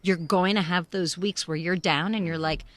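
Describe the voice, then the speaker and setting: A woman giving animated, emphatic, encouraging advice in conversation, often acting out little bits of quoted dialogue. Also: on the low side, normal speed. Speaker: podcast guest, expat living in Spain.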